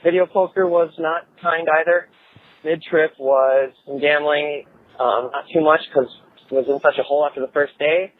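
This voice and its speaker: A man's voice: a little high, fairly even intonation, moderate pace. A man with an American accent, from Minnesota, recounting a report informally as a caller on a phone voicemail. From Minnesota, United States. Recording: tinny narrowband phone voicemail.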